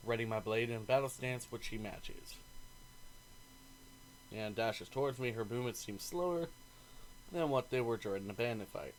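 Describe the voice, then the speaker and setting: A man reading a visual novel's narration aloud as a gaming host, expressive and informal. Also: mid-range voice, normal speed, long pauses, a little hiss or hum, rough recording. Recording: faint game music behind.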